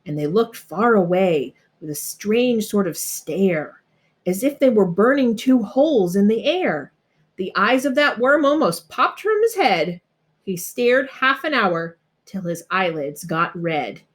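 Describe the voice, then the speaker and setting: A woman narrating a rhyming children's storybook aloud in an expressive, dramatic way. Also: medium pitch, measured pace. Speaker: storybook reader.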